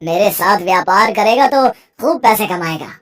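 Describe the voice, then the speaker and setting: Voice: mid-range voice, lively intonation, normal speed, natural voice. An actor voicing a scheming sorcerer who poses as a kindly uncle, persuasive and ingratiating, in a cartoon moral story. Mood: smooth persuasion. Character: scheming male sorcerer posing as a sweet-talking uncle. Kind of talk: animated Hindi moral story acting.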